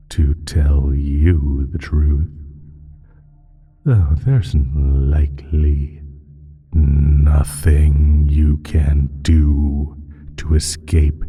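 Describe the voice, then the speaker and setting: A man playing an ancient, evil male creature in an audio roleplay, speaking in a slow, teasing, sinister drawl with a husky, breathy voice that dips toward a whisper. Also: mellow, heavily acted. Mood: amused, indifferent. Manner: drawn-out, halting, teasing delivery with low chuckles. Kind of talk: slow, theatrical audio roleplay monologue addressed to listener.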